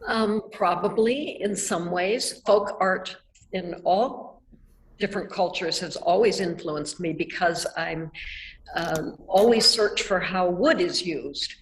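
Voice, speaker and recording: An older woman from Nebraska, answering questions in a reflective, measured way over a video call. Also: fairly low pitch, slight background noise, rough recording. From Nebraska, United States. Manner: reflective, measured, with soft laughs. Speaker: artist guest. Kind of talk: thoughtful conversational answers. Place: art gallery, indoors. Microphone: video call webcam.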